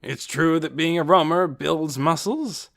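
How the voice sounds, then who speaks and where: A man voicing a big, hearty, boastful older brother in a storybook, loud and given to repeating himself. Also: a little high, unhurried, creaky, voice pushed up.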